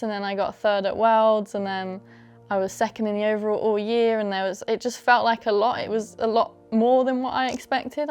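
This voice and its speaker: A young adult woman racer reflecting in a documentary interview, self-described as having a monotone voice. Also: fairly high pitch, smooth. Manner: reflective, says her voice is monotone.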